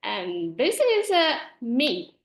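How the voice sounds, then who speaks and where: A middle-aged woman with a Taiwanese accent gives an informal online presentation, speaking haltingly and often repeating her words. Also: a little high, huge pitch swings, a bit bright. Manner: halting, with frequent repeated words and nervous laughter. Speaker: presenter, school librarian and author. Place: online video call.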